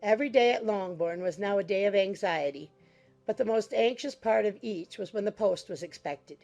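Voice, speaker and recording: A woman's voice: medium pitch, moderate pace, creaky, darkish tone. Woman narrating a classic novel over music. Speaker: audiobook narrator. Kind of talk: scripted literary narration.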